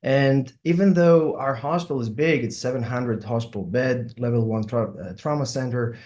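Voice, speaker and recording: A middle-aged man from Greece with a Greek accent, a surgeon giving an online symposium talk and often pausing with ums and uhs. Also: medium pitch, a little mellow. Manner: hesitant, many ums and uhs. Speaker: plastic surgeon presenting.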